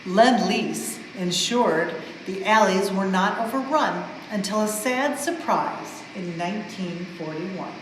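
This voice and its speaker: A woman reads a storybook aloud for children with expressive, measured emphasis on the rhymes. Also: mid-range voice. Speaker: museum staff member reading a story aloud. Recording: very echoey large hall, some noise.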